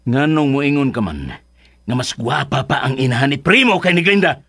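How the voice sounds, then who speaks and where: A Filipino actor playing a bossy, hot-tempered schemer in a radio drama, with a rough, bullying, theatrical delivery. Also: male voice, mid-range voice, big pitch swings, normal speed, fairly bright tone, full character voice. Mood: bossy, irritated. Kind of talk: Philippine radio drama acting.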